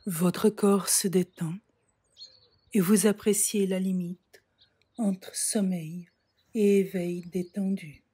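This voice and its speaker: A woman guiding a yoga nidra relaxation in a calm, soft, breathy voice, giving measured instructions with frequent pauses. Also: medium pitch. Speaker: yoga nidra meditation guide. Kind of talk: slow guided relaxation meditation.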